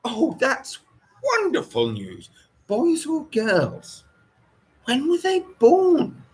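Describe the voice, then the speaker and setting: A man's voice: extremely high pitch, wildly swooping pitch, extremely slow, pitched above the performer's own voice, heavily acted. A man voicing a chatty, nosy woman in her sixties, a gushing neighbour in a story who draws out her exclamations. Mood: delighted, curious. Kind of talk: audiobook character voice.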